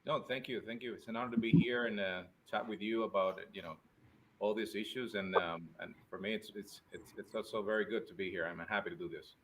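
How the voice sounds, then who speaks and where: Male medical guest from Spain, fairly low voice, conversational and halting, faint noise behind. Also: steady tone. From born in Spain, Puerto Rican and Spanish family. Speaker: guest, urologist. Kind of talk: conversational interview answers.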